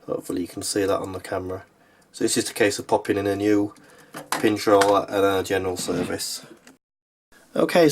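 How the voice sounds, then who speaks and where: A man narrating an informal how-to as a repair technician, speaking matter-of-factly while he works with his hands. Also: on the low side. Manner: matter-of-fact, talking while working with hands. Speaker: repair technician and video host. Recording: clearly noisy recording with clicks.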